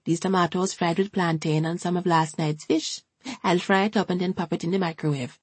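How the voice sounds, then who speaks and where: A woman's voice: fairly low pitch, fairly even intonation, brisk, husky, no room sound. A woman voicing a nervous, devout elderly grandmother with an eager, sing-song way of talking. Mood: cheerful.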